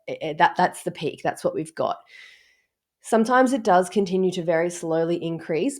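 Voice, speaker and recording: A woman strength coach with a croaky voice from a cold, explaining training in a chatty, step-by-step way with many fillers. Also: lowish voice, a little mellow. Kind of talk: casual instructional talk to camera.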